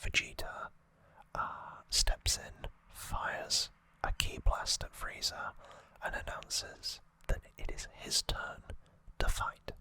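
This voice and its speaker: This is an ASMR host who whispers from start to finish. The delivery is gentle and halting, at a slow pace. The whisper has a bright, sharp edge. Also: poor audio. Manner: hushed, unvoiced whispering throughout. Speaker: host of an anime trivia channel.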